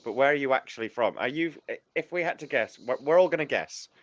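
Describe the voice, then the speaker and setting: A man's voice: medium pitch, expressive, fast talker. A man with a Northern English accent hosting a podcast, asking teasing, jokey questions in casual chat. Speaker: podcast host.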